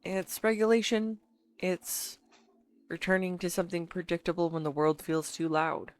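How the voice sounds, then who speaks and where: A woman playing an earnest, bashful, talkative girlfriend in an intimate audio roleplay, speaking straight to the listener in a halting, teasing manner. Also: lowish voice, level tone, lightly acted. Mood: earnest, absorbed.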